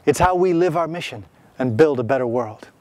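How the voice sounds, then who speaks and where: A man giving solemn, measured scripted narration for a promotional video. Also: on the high side, expressive, a little mellow, no room sound. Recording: music underneath.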